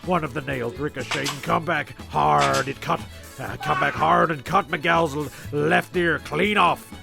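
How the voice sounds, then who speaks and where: A man's voice: fairly high pitch, a little slow, creaky. A man narrating a comic story in an offhand, stumbling way, often repeating phrases. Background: music.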